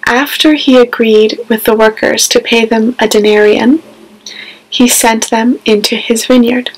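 A woman's voice: a little high, steady tone, a little slow, poor audio. A woman, reading a scripted scripture lesson with careful phrasing. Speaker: scripture reader. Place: small home room, indoors.

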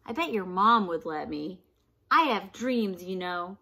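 A woman's voice: medium pitch, expressive, unhurried, vocal fry, bright, forceful tone, husky, heavily acted. A woman voicing a pleading, tantrum-prone pigeon from a picture book, whiny and dramatic. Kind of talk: children's storybook read-aloud.

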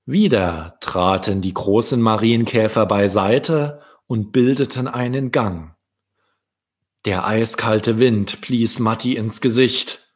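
A young man from Germany telling stories to children in a deliberate manner, as an author hosting his readings. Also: lowish voice, husky. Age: young adult. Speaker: children's author, channel host. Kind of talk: storytelling for children. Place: small indoor room. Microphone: close desk microphone with pop shield.

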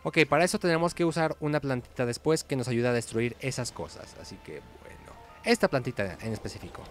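A man's voice: medium pitch, fairly quick. A man giving casual, playful game playthrough commentary as the host. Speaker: gaming video host. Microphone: voice-over mic over game audio. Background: game music.

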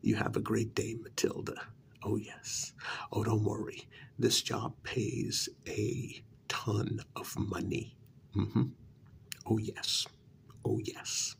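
A man speaks softly and very slowly in an ASMR role-play, as a flattering agent. His voice is heavy with vocal fry and often slips into a breathy whisper. He pauses often and repeats little words soothingly. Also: fairly low pitch. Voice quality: breathy, half-whispered, gravelly. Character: smooth, flattering talent agent to supernatural creatures.

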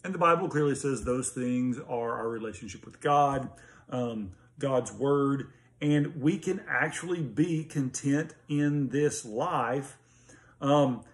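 A man in his thirties with an American accent, a pastor giving an informal, earnest sermon recap to camera with hesitant pauses. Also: medium pitch. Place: small home study with bookshelves, indoors. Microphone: phone or laptop at arm's length.

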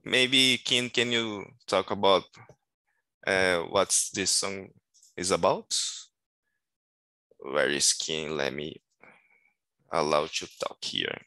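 A man in his thirties talks slowly into a headset on a video call, his low voice bright and forward. He asks questions hesitantly, often repeating his words. The sound is dry and close, with no room around it. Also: dry recording, poor audio. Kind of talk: casual video call chat. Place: small room indoors. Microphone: headset mic on a video call.

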